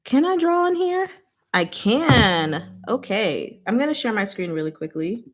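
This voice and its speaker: A woman's voice: on the high side, big pitch swings, measured pace. Casual, rambling woman chatting to viewers. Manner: informal, rambling, self-interrupting asides. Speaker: host of a book discussion. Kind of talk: casual live book discussion, conversational explaining.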